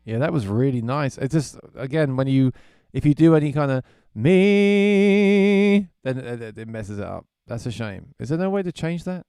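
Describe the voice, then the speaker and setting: A man's voice: medium pitch, expressive, moderate pace, dark tone, smooth, no room sound, studio quality. A man chatting casually on a livestream while demoing music gear, thinking aloud and often repeating words. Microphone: vocal mic through effects unit.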